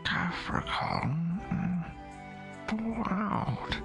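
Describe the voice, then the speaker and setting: Audio drama king, played by an actor, muttering orders in fevered sleep: extremely slow, creaky, over music. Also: mid-range voice, animated. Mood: troubled, delirious. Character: unconscious king muttering commands in troubled sleep. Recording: music behind the voice.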